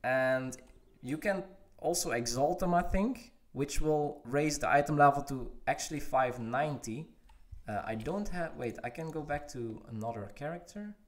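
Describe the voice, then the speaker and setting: A man's voice: a little high, lively intonation, normal speed. A man, streaming a game and answering viewer questions in a casual, hesitant way with many fillers. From Netherlands. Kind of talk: casual livestream Q&A.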